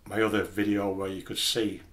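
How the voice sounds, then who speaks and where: A man with a slightly low voice and very little rise and fall in pitch. He talks like the host of a home gear video, explaining things casually and plainly, carefully qualifying what he says. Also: flat delivery, fairly quick, a bit bright. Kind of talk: casual explainer talking to camera.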